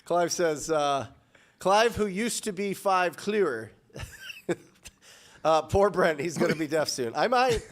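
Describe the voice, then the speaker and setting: Male host, 30s, energetic live Q&A, studio boom mic, upbeat delivery, frequent restarts. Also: takes it slowly, pauses often. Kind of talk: live show Q&A. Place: studio with purple backdrop.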